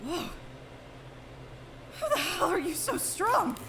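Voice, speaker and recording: Woman doing a brash foul-mouthed girl, high and lively, echoey noisy room. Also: takes it slowly, exaggerated voice. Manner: brash, swears a lot, bossy. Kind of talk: fan audio drama acting.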